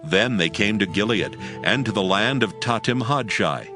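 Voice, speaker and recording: A man narrating a dramatized scripture as scripted audio, with solemn, measured storytelling in archaic phrasing. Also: fairly low pitch, animated. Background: music.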